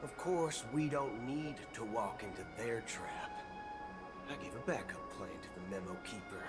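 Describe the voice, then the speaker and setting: An actor playing a brash, folksy, roguish cowboy gunslinger with a swaggering, theatrical delivery in a video game. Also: male voice, fairly low pitch, close to an everyday voice. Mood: sly, confiding. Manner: swaggering, theatrical, drawn-out emphasis. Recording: game music behind the voice.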